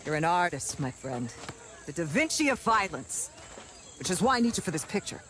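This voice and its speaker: A woman playing a flamboyant, self-important film director in a video game, gushing, theatrical and bossy as she talks fast. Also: fairly low pitch, big pitch swings, fairly bright tone, a little hiss or hum. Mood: brash, gushing. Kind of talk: video game cutscene acting.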